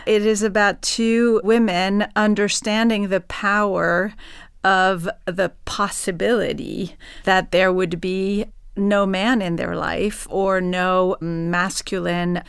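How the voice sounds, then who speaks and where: Slow, creaky but smooth-voiced woman. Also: mid-range voice, dry recording, studio quality. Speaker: panel guest in theatre. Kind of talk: reflective discussion.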